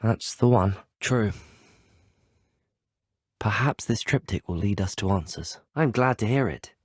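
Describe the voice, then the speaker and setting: Polite young British wizard student, low clear voice. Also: male voice, no room sound, studio quality. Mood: earnest, explaining. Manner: polite, measured, well-spoken. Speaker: video game player character. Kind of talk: scripted game dialogue.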